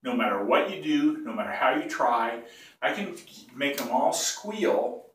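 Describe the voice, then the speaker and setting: An older man with a cold teaches bagpipe technique in a casual, rambling way. He keeps clearing his throat and stops to correct himself. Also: mid-range voice, animated, a little slow. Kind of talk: casual tutorial. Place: small tiled bathroom. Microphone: phone or camera some feet away. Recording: echoey bathroom, voice from a distance.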